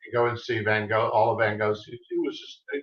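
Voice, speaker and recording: A man's voice: on the low side, fairly even intonation, fast, fairly bright tone. Texas-accented man in a roomy, dull call. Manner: easygoing, rambling with restarts. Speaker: author guest. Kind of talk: casual interview chat.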